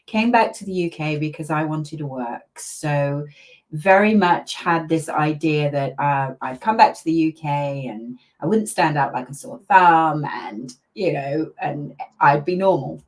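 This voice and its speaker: An older woman and grandmother from Malaysia, a parenting coach talking chattily with many fillers as an interview guest. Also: low voice. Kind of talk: casual interview over a video call.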